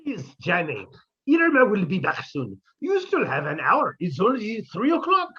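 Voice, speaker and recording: A sardonic, scheming older professor, played by a man, with a husky, lively voice and droll timing. The dull, lo-fi sound suggests an old radio recording. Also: high voice, normal speed, heavily acted. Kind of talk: 1950s radio sitcom acting.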